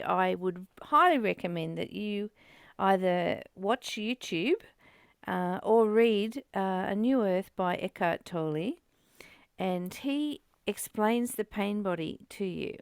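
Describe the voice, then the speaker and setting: Slow, creaky woman leading a study. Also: mid-range voice, no room sound, studio quality. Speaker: host of a spiritual book study. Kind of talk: reading aloud and informal teaching commentary.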